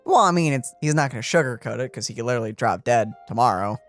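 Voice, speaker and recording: Female streamer, very deep voice, animated intonation, chatting casually over game music. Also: quick pace. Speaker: let's play streamer.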